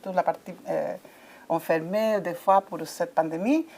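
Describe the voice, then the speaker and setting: A woman's voice: on the low side, normal speed. Woman, french not her first language, lots of euhs and restarts, chatty conference interview. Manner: hesitant, many fillers and restarts, warm enthusiasm. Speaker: ambassador of a coaching federation.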